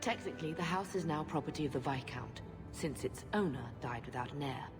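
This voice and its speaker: A woman's voice: deep voice, brisk, over music, lightly acted. An actress playing a proud, careworn middle-aged mother of noble birth in a video game, dignified and insistent.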